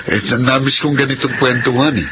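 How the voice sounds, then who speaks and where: A man's voice: mid-range voice, steady tone, brisk, muffled recording, natural voice. An actor playing a reticent, melancholy man in a Filipino radio drama, speaking in a hesitant, subdued way. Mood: wistful, hesitant. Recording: noisy radio drama scene with ambience.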